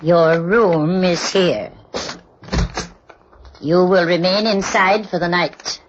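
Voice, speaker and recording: A grim innkeeping woman of long ago, speaking low and slowly with stern, ominous, archaic words. She is in an old radio drama with faint noise behind her. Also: lo-fi sound. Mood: ominous warning.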